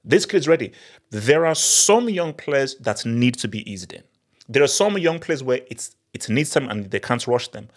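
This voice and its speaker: A man in his thirties talks straight into a studio mic on a boom arm, breaking down a match as a football channel host. His pitch leaps up for emphasis and he keeps hammering points home by repeating words. It sounds like a small home studio. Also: lively intonation, normal speed. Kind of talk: post-match analysis monologue.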